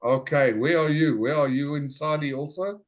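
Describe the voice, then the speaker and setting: A man teaching a live online English class over a video call, greeting students and repeating their names slowly and clearly. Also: on the high side, highs cut off.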